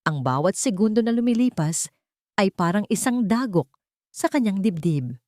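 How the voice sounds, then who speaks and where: A woman narrating a scripted dramatic story as an audiobook, with solemn, deliberate phrasing. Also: mid-range voice, very animated, darkish tone, no room sound, studio quality.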